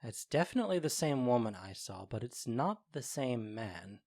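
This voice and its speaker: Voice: mid-range voice, normal speed. An actor playing a mischievous fairy servant in an audio drama, telling things with gleeful, boastful theatricality. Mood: pleased, obliging. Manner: animated, boastful storytelling.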